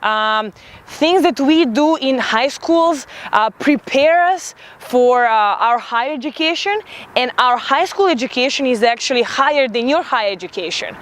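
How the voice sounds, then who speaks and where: A woman's voice: high-pitched, lively intonation, normal speed, fairly bright tone. A woman in her twenties from Serbia, an international student giving emphatic, opinionated interview answers with many fillers, outdoors in a city. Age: 20s.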